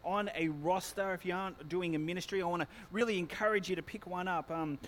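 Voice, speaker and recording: A man with a loud voice, a pastor giving church notices and a sermon in a chatty, self-mocking way, joking with the congregation. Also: high voice, fast, slight background noise. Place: church hall. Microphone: handheld or stand microphone.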